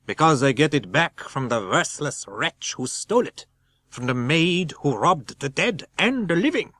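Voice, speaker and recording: Man playing a grave, deliberate old foreign professor. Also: a little high, big pitch swings, sharp tone, no room sound, voice pushed up, heavily acted. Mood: urgent, indignant. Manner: deliberate, halting foreign phrasing. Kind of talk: dramatic audiobook reading.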